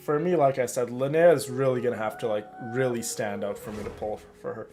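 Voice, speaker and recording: A man's voice: medium pitch, steady tone, fast, darkish tone. A man chatting casually and familiarly to his viewers while streaming a video game. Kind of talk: casual live stream chat. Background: game music.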